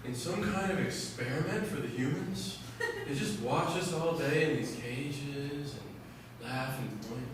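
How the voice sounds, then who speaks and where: A man performs an improv scene in a small theater, musing aloud in a deadpan way. He speaks slowly in a slightly high voice. The recording is distant and very echoey, with an audience around him. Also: noisy background.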